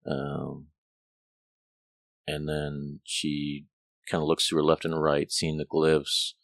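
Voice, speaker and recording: A man's voice: extremely low pitch, completely flat delivery, slow, creaky, no room sound, clean studio sound. A man narrating as game master in a casual, rambling way, full of fillers and restarts. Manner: rambling, hesitant with many fillers.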